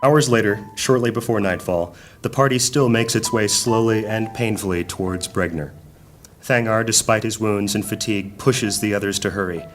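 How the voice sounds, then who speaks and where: A man's voice: lowish voice, moderate pace, faint music behind, lightly acted. A man narrating a scripted fantasy audio drama in a solemn storyteller's manner. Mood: measured, solemn.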